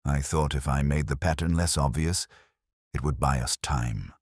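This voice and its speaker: Man voicing a quiet guilt-ridden woman, very low. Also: normal speed, breathy edge, dry recording, clean studio sound. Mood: guilty. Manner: quiet, hesitant. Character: tense, guilt-ridden woman confessing to a detective.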